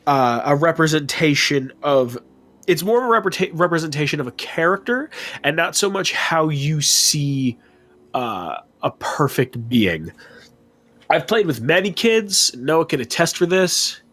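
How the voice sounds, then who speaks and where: A man with a stuffy nose, chatting on a podcast about his tabletop characters, animated and full of swearing. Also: on the high side. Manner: animated storytelling, swearing, quick asides. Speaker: podcast guest. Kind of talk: casual chat about tabletop gaming.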